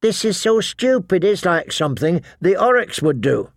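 A man's voice: sits high, sing-song, moderate pace, creaky, no echo, clean studio sound, pitched above the performer's own voice, full character voice. A man voicing a nervous, cheeky teenage boy recruit in an audiobook, with a piping delivery.

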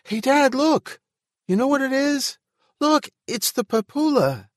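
A man voicing an excited small boy in a storybook reading, calling out eagerly to his parents. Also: very high-pitched, slow, dry recording, clean studio sound, voice pushed up, heavily acted.

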